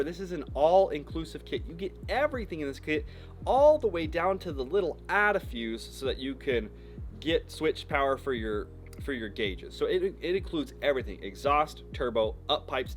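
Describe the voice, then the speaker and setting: An American man presenting a vehicle shop's video, giving a frank, informal, slangy product review in a casual style. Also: medium pitch.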